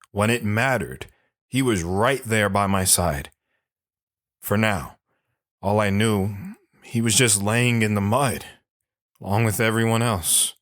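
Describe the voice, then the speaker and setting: An actor playing a bitter, haunted older army sergeant telling his story in a brooding, halting way for an audio drama. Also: on the low side, fairly bright tone, dry recording, studio quality. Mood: somber reminiscing. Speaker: dramatic monologue narrator.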